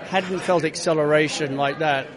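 A man's voice: on the high side, fairly even intonation, moderate pace, a crowd in the background. Brief: male racing driver guest, easygoing storyteller, often restarts his sentences, outdoor city sound. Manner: rambling, many restarts, easygoing. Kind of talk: casual interview chat.

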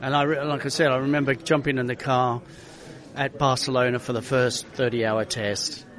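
A man, a racing driver being interviewed, who tells his story in a lively way and acts out quotes with many restarts. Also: medium pitch, moderate pace, crowd noise.

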